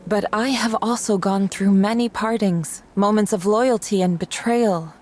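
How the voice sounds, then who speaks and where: A woman voicing a composed, reflective comrade in a video game, thoughtful and gently lecturing. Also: medium pitch, steady tone, measured pace, lightly acted. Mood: reflective, regretful. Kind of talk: dramatic video game voice acting.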